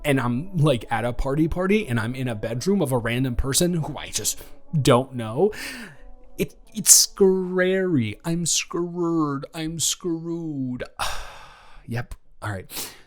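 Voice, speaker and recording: A young man playing an anxious, flustered student with a crush in an intimate, conversational audio roleplay, talking in an awkward, halting, rambling way. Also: fairly high pitch, animated, soft background music. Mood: nervous, flustered.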